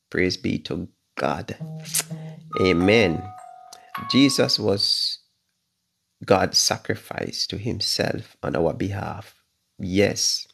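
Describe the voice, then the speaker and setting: Jamaican pastor, 50s, slow and fervent, husky low voice, livestream sermon from home. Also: male voice. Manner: fervent, repeats phrases, drawn-out stressed words. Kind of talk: livestream Bible study preaching. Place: living room at home, indoors. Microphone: phone camera at arm's length.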